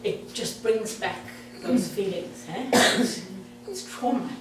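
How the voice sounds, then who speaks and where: A woman's voice: medium pitch, lively intonation, slow pace, heavy background noise. A woman in her sixties with a South African accent, giving a chatty talk to an audience. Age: 60s. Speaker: guest speaker. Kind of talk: presentation to an audience. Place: meeting hall. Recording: echoey hall, mic far off.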